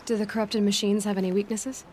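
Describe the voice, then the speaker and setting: An actress playing a determined young huntress who speaks in a direct, practical and sceptical way. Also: female voice, medium pitch, flat delivery, quick pace, darkish tone, faint music behind, lightly acted.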